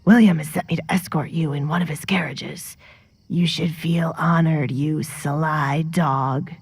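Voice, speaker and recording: A woman voicing a sly, mocking male henchman in an audio drama, hushed and threatening as he taunts. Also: deep voice, fairly even intonation, unhurried, breathy edge, no echo, full character voice. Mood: taunting menace.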